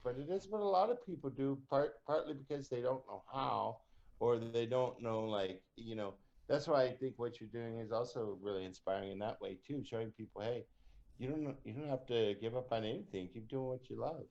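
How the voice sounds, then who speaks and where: An American man of about fifty hosting a casual chat, talking in a halting, rambling way and repeating words. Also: medium pitch, a little mellow, muffled recording. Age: 50s. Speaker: podcast host.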